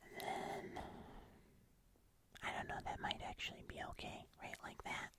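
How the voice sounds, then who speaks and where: An ASMR host speaking entirely in a whisper, casually and gently rambling through a hands-on show-and-tell. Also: a little slow. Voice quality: whispered, unvoiced.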